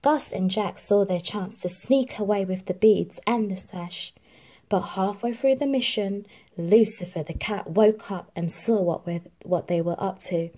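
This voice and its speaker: A woman reading a children's story aloud in an expressive, deliberate narrating style. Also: medium pitch. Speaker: storybook reader.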